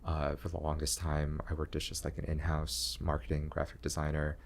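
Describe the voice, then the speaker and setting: A young man talking slowly in a low voice with long pauses, chatting as a guest about his art and career. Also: completely flat delivery. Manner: slow, low-voiced, pausing talk. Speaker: comedian and graphic design teacher, guest. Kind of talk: casual interview chat.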